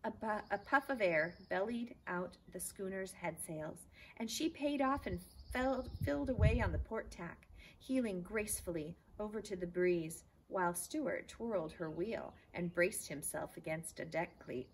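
A woman's voice: medium pitch, animated, measured pace, few pauses, rough recording. A woman reads a children's chapter book aloud expressively, outdoors. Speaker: teacher reading aloud. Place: outdoors in a backyard garden. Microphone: camera a few feet away. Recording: faint outdoor background noise.

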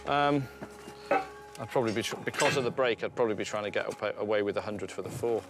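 A man's voice: fairly low pitch, fairly even intonation, quick pace. A middle-aged British man, a plain-spoken antiques dealer, haggling and commenting informally. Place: outdoors in a scrapyard. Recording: music behind the voice.

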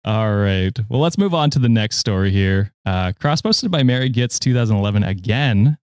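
A man's voice: lowish voice, expressive, normal speed, smooth, no room sound, studio quality. A man in his late twenties hosting a podcast, chatting casually and reading online posts aloud. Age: late 20s. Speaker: podcast host reading stories.

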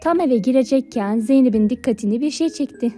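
A woman narrating a scripted fairy tale for children in a clear storybook manner. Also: high-pitched, fairly quick, mellow. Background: background music.

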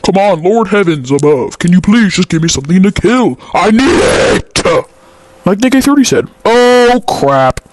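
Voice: mid-range voice, lively intonation, unhurried. An amateur voice-over performer improvising comic movie dubbing in a goofy, exclaiming, theatrical way. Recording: loud movie noise and roars behind.

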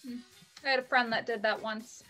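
A woman's voice: on the high side, fairly even intonation, a little fast, pushed and bright, faint music behind. A young woman playing a doting, blunt, sassy fifty-year-old mother in a play reading over a video call. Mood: sardonic. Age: young adult. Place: home bedroom, indoors. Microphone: video call on computer.

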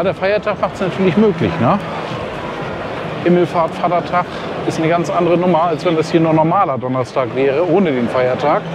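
A man from Germany chatting casually to his viewers as he walks around a busy outdoor racetrack event, often ending his sentences with a questioning "ne?". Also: a little high. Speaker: vlogger. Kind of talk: casual walk-and-talk vlog. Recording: outdoor noise and crowd.

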